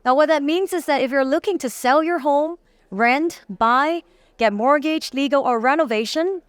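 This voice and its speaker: A woman, a company CEO pitching her business in an interview, polished but with frequent fillers. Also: normal speed, no room sound. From Singapore. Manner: polished, promotional, uses many fillers.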